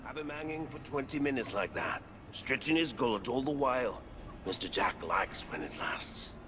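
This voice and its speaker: An actor playing a gloating, sadistic Victorian chief warder in a video game, with a rough working-class London accent and a crude, bullying manner. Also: male voice, lowish voice, close to an everyday voice. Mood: coldly instructive. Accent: English, working-class London. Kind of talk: video game character acting. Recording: music behind the voice.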